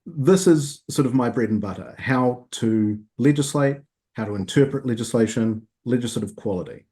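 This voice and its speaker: Man, law lecturer, mellow tone, measured, formal submission, video call. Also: mid-range voice. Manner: measured, with frequent ums.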